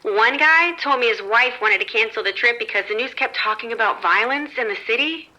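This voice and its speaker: A woman's voice: fairly high pitch, a little fast, sharp tone, low-quality recording. A woman playing an upbeat, unruffled casino floor manager in an audio drama, chatting in a matter-of-fact, reassuring way. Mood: chatty. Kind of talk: scripted horror audio drama radio exchange.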